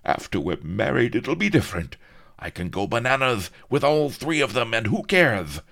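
A man's voice: medium pitch, sing-song, moderate pace, creaky, fairly bright tone, pitched above the performer's own voice. A man voicing a cruel, blustering male viceroy who screams, cackles and gloats in a theatrical storybook reading. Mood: gloating.

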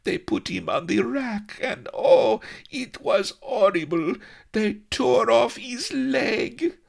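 A man's voice: a little high, expressive, slow, creaky, fairly bright tone, voice pushed up, heavily acted. A man voicing a panicky young noblewoman in broken English with a put-on Spanish-flavoured accent, breathless and melodramatic. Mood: distraught.

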